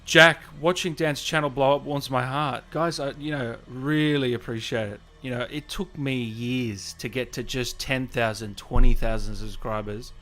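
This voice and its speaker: A man's voice: medium pitch, steady tone, normal speed, hardly any pauses, sharp tone. A man hosting a livestream in casual, chatty style, often repeating his phrases as he talks to viewers. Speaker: livestream host, gaming interviewer. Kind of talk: casual livestream chat, reading and answering comments.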